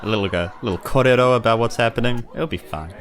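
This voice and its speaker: A man's voice: medium pitch, quick pace, a bit bright. A man with a New Zealand accent bantering casually and jokingly as a player in a tabletop game.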